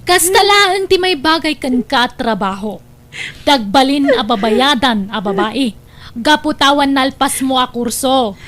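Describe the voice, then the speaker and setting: A woman's voice: high voice, measured pace, fairly bright tone. A woman playing a sly, taunting, domineering city recruiter in a family radio drama. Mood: mocking, bossy.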